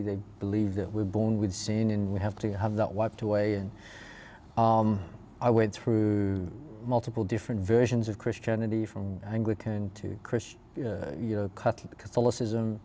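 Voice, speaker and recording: A man with an Australian accent and a fairly low voice tells their story casually, pausing and rewording as they go. The tone is soft-edged and mellow. There is noticeable ambient noise behind them. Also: level tone. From Perth, Australia. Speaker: interview guest telling their life story. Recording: clear background ambient noise.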